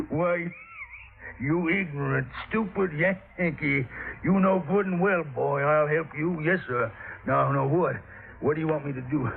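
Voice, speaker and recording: An actor playing an irascible, blustering Southern man in a radio drama, with a gravelly, folksy delivery that repeats itself. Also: a little high, huge pitch swings, slow, long pauses, narrow, tinny audio, exaggerated voice. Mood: indignant, scornful. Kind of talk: old-time radio drama acting. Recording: band-limited vintage radio recording.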